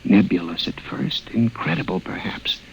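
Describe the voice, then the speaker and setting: A man's voice: lowish voice, animated, normal speed, gravelly, a little hiss or hum, low-quality recording. An actor playing a frightened, obsessed scientist recounting his nightmare with melodramatic intensity in a 1950s radio horror play. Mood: brooding, dawning horror. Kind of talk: 1950s radio horror acting, confessional narration. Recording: old 1950s radio recording with music.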